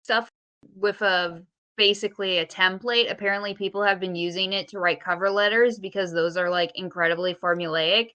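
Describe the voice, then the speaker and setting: A woman talking casually as a podcast co-host, earnest and a bit halting as she argues her points. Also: mid-range voice, fairly even intonation, bright, forceful tone, lo-fi sound. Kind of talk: casual speculative podcast chat.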